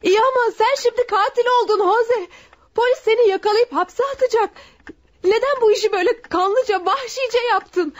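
A woman's voice: extremely high pitch, moderate pace. An actor playing a young woman who is shocked by her lover's crime, alarmed and reproachful. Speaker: radio drama actor. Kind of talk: vintage radio drama acting. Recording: dull lo-fi sound.

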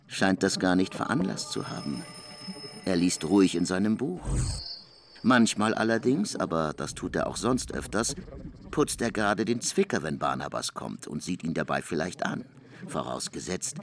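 An actor playing a literary narrator in a radio play, relaying someone's account in a deliberate, expressive storytelling manner. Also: male voice, low-pitched, heavily acted.

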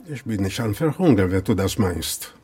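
An actor playing a bitter, weary shopkeeper from Portugal in a naturalistic radio drama, with a husky voice. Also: male voice, lowish voice, expressive, normal speed, a little mellow, full character voice. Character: bitter, weary Portuguese male shopkeeper who lost his shop.

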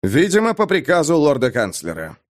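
A man's voice: fairly high pitch, huge pitch swings, a little fast. Audiobook guard captain, male narrator in role: authoritative, stern, clipped and dry delivery. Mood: matter-of-fact. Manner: dry, curt, commanding.

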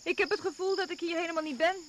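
Young female adventurer: high voice, ironic, wistful, uneasy underneath, noisy vintage radio recording. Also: fairly even intonation, very fast, a bit bright. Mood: wry, wistful. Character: wry, romantic young woman, weary and secretly afraid. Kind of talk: radio drama dialogue.

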